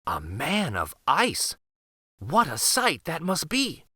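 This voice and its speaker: A man's voice: a little high, wildly swooping pitch, slow pace, bright, forceful tone, husky, no room sound, clean studio sound, heavily acted. A man voicing a polite, brave young peasant woman in a fairytale read aloud. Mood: polite, curious. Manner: polite, pleading.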